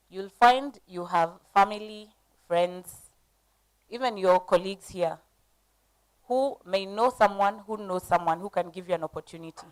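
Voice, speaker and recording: A woman's voice: mid-range voice, low-quality recording. A woman speaking as a panelist at a conference, coaching the audience with questions.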